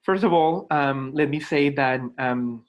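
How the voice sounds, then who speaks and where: A man in his thirties from Colombia, a native Spanish speaker with a Colombian accent, introducing himself to students as a lecturer in a reflective, halting way. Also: fairly high pitch, muffled recording. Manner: reflective, halting, with repeated words. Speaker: adjunct philosophy lecturer. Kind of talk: informal self-introduction to students.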